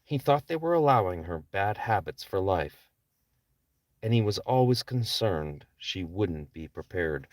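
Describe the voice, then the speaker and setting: A man's voice: low voice, very animated, a little slow, no room sound. A man reading his own novel aloud as a scripted audiobook narration. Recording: distant microphone.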